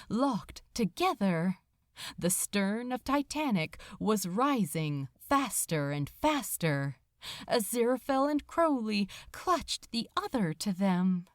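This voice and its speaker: A woman's voice: on the high side, no room sound. Woman narrating a dramatic story, takes her time, really close dry sound. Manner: expressive, suspenseful, deliberate. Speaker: audiobook narrator. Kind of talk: dramatic scripted narration of fiction.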